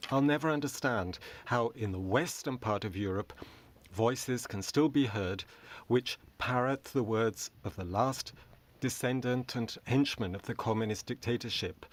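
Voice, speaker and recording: Man, simultaneous interpreter, debate speech, steady, measured, short phrases, booth mic. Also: medium pitch.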